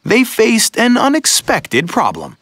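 High-voiced, very animated male children's narrator. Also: slow pace, dry recording, clean studio sound. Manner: expressive, storybook delivery. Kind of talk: playful scripted storytelling for children.

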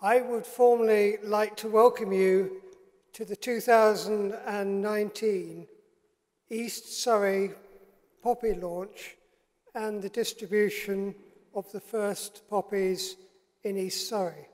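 A man in his sixties giving a formal welcome address at a podium in a large hall, slow and deliberate. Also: high voice, steady tone, darkish tone. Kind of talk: formal speech. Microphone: podium microphone. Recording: long hall reverb.

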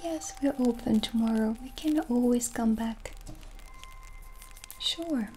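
A woman in her thirties doing a gentle, soft-spoken ASMR roleplay as a witch shopkeeper, unhurried and often slipping close to a whisper, in a small room. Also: a little high. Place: small dim indoor room set as a candlelit shop. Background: original music.

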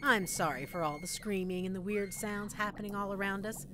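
A woman's voice: medium pitch, fairly quick, background noise, lightly acted. A woman playing a sadistic, gleeful mad scientist in an audio roleplay, teasing her captive listener with sweet condescension. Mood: playfully menacing.

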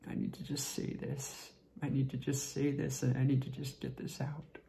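A man performing a dramatic monologue as a tipsy, lovesick young man confessing to a friend, halting and repetitive, with a creaky voice that often drops toward a whisper. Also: medium pitch, monotone, dark tone. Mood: pleading, hesitant. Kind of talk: dramatic stage monologue rehearsal.

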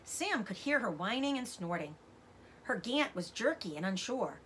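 A middle-aged woman reading a children's novel aloud as a teacher does, with expressive narration. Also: mid-range voice, normal speed, a little hiss or hum. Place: indoors, room with bookshelves.

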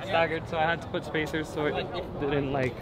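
A man in his thirties, a car owner giving a relaxed interview at an outdoor racetrack, repeating words and using many fillers. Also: a little high, fairly quick, a loud crowd. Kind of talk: casual interview.

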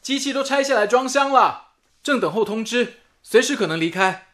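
A man's voice: very high voice, lively intonation, normal speed, a bit bright. An actor playing a dutiful, businesslike man at a wartime radio station, in radio drama dialogue. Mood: concerned.

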